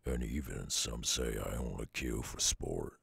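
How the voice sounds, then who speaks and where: A man voicing a brooding, weary loner werewolf with a Southern US accent and a gruff, husky drawl, in an ASMR audio roleplay. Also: very deep voice, fairly bright tone, dry recording, exaggerated voice. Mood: grim, brooding. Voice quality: very gravelly, husky. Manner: slow, drawling, murmured.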